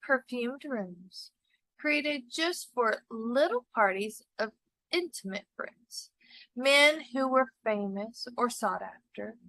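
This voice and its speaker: High-voiced woman reading a story slowly. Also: sharp tone, no room sound, low-quality recording. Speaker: story reader. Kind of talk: literary short story read aloud.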